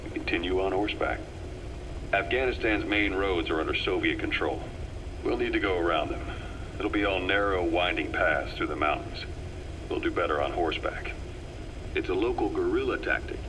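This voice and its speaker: An actor playing a composed, worldly military operative giving a measured briefing in a video game. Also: male voice, sits low, noisy background, natural voice. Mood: brisk, instructive. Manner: measured, matter-of-fact, explanatory.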